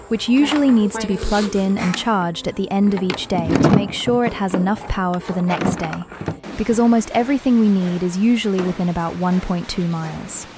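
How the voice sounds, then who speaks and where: A young woman from Vietnam, narrating a travel vlog about her home village in a calm, measured, explanatory voice-over. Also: medium pitch, very noisy. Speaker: vlogger. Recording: voice-over with clear background music. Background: background music.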